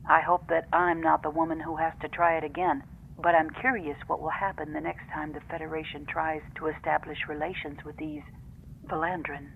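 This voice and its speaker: A woman playing a serious female first officer who dictates formal, measured log entries in a fan audio drama. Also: low voice, flat delivery, gravelly, a bit bright, slight background noise, rough recording.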